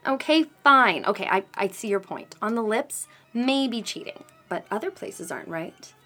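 A woman's voice: animated, moderate pace, bright, forceful tone, soft background music. A woman doing a sly, murmuring ex-lover. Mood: coaxing, sly. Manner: coaxing, teasing, low and murmured.

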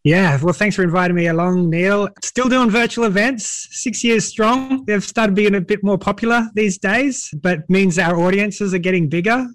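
A man's voice: high voice, normal speed, hardly any pauses, a bit bright, no echo. Upbeat Australian man, dry sound. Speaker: guest. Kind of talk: casual interview talk. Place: home at night, video call.

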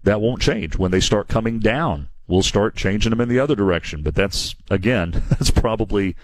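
A man's voice: lowish voice, moderate pace, no room sound. A man hosting a call-in home improvement radio show, talking plainly and earnestly with many restarts and repeated words. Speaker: radio show host and window company owner. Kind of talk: call-in radio advice talk.

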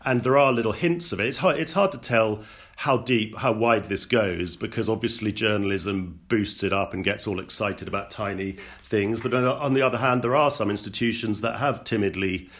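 Male panel guest, low voice, hesitant and repetitive, cautious tone. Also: normal speed, a bit bright. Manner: hesitant, repeats words, wary. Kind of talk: conversational commentary.

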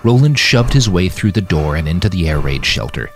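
Deep-voiced man narrating with wide swings. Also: very animated, fairly quick, faint music behind. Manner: dramatic, acts out character dialogue. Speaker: author reading his fiction podcast. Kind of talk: scripted audiobook narration.

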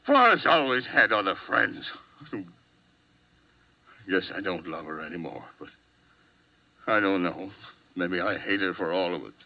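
A man's voice: deep voice, big pitch swings, unhurried, creaky, pauses often, exaggerated voice. An actor playing a volatile, hot-tempered man wanted for murder, swinging between threats and pleading, in a 1950s radio drama. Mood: desperate. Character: hot-tempered desperate male murder suspect. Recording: dull lo-fi old radio broadcast.

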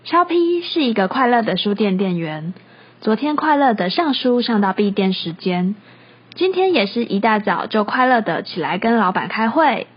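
A woman's voice: on the high side, very animated, normal speed, breathy edge. A woman hosting and narrating a scripted comedic radio skit in storybook style. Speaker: host and narrator, bookstore clerk. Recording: slightly muffled recording.

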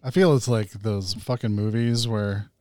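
A man's voice: on the low side, fairly even intonation, measured pace, darkish tone, no echo, clean studio sound. A man with a Midwestern American accent from Illinois, co-hosting a podcast with wisecracking, sweary banter. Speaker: podcast co-host. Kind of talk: casual chat.

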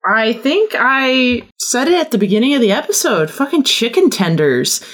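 A woman's voice: fairly high pitch, big pitch swings, moderate pace, a bit bright. A woman chatting casually as a podcast guest, with a wry, informal way of talking. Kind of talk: casual chat.

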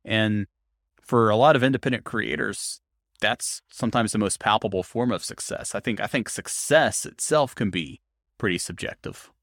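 A man's voice: on the low side, normal speed, fairly bright tone, no echo, clean studio sound. A man hosting an interview podcast, speaking in a thoughtful, explanatory conversational way. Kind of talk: conversational interview with narrated segments.

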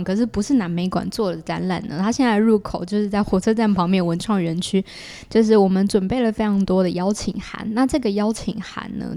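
A woman with a Taiwanese Mandarin accent speaks at a medium pitch. Her tone is very mellow and relaxed as she explains things conversationally as a guest. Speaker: guest artist. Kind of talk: casual explanatory chat.

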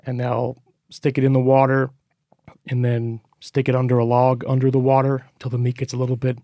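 A man's voice: medium pitch, completely flat delivery, fairly quick, mellow, dry recording, clean studio sound. A man in a casual podcast chat, talking in a laid-back, hesitant way.

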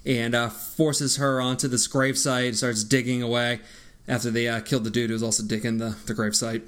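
A man's voice: medium pitch, little pitch movement, brisk, fairly bright tone, smooth. A man co-hosting a podcast, casually recapping a horror movie's plot in a loose, profane, laid-back way. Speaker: podcast co-host.

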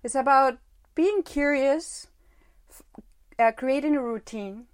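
A woman from Guatemala, a mother of a teenager, speaking as a podcast guest in a reflective, halting way with many "you know"s. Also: sits high. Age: adult with a teenage son. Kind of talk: conversational interview.

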